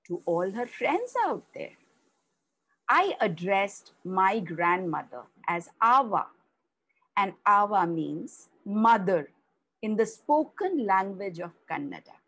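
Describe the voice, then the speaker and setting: A woman reads a story aloud at an unhurried pace. Her voice is slightly husky and moves up and down expressively. She pauses to explain things, as if talking to children. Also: medium pitch, low-quality recording. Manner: slow, explaining, with rising emphasis on key words.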